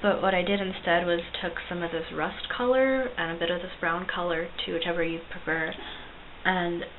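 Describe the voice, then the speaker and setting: A young woman with a slightly low voice talks through a makeup tutorial in a chatty, self-deprecating way, with plenty of ums. The sound is thin and phone-like, with a loud steady hiss underneath. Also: moderate pace. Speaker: cosplay makeup vlogger. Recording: tinny lo-fi recording with loud hiss.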